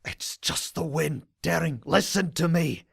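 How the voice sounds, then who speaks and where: A man playing a weary, wounded older father pony in an audio drama, raspy, weak and gently insistent. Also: fairly high pitch, dry recording, studio quality, exaggerated voice. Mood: weary, pleading. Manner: slow, strained, gently insistent. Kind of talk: audio drama character acting.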